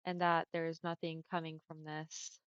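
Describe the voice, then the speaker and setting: A young woman livestreaming from home and chatting with her viewers in a friendly, easygoing way, often laughing. Her voice is smooth and on the deeper side for a woman. The sound comes through a dull headset mic with a dry, close feel. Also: level tone, measured pace, fairly bright tone, no echo. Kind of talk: casual chat with viewers.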